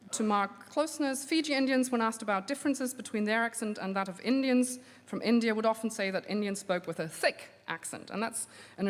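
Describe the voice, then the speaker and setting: A woman researcher giving an academic conference lecture, measured and steady, with frequent um fillers. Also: fairly high pitch. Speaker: linguistics researcher giving a plenary talk. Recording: long hall reverb.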